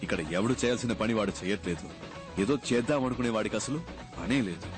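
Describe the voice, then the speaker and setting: An actor playing a bold, defiant young gang leader, speaking in a cocky, taunting, slangy way. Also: male voice, lowish voice, animated, quick pace, over music, natural voice. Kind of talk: film drama dialogue.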